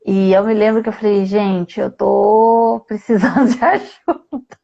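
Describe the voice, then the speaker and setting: A woman from Brazil hosting a live-streamed conversation over a video call, telling a personal story in a lively way. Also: mid-range voice, unhurried, creaky, highs cut off. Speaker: host.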